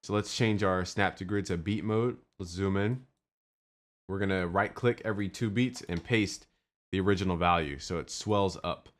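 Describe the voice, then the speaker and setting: A young man with a very low voice, walking through a music production tutorial step by step. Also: moderate pace. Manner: step-by-step, instructive. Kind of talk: screen-recorded software tutorial.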